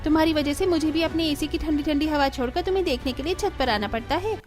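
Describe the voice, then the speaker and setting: Very high-pitched haughty sister-in-law voiced by a woman. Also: fast, a little mellow, faint music behind, close to an everyday voice. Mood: angry scolding. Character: haughty, irritable elder sister-in-law, complaining and sharp-tongued. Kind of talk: Hindi cartoon family-drama acting.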